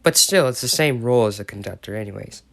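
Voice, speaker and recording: A man's voice: lowish voice, lively intonation, fast talker, gravelly, fairly bright tone, no room sound. American man reading a bedtime story. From United States. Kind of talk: storytelling and chat.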